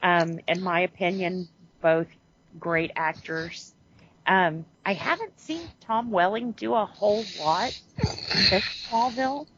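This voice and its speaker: A woman's voice: fairly low pitch, takes it slowly, fairly bright tone, slightly rough, dull audio. A woman co-hosting a podcast in casual chat, rambling and correcting herself as she goes, laughing often. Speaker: podcast co-host.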